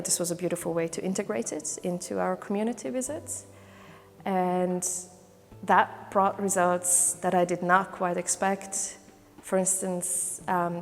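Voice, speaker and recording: Woman from Hungary, low-ish voice, slow unhurried pace, long hall reverb, soft music behind. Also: vocal fry. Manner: thoughtful, many fillers and restarts. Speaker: volunteer trainer and career counselor. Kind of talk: testimonial interview.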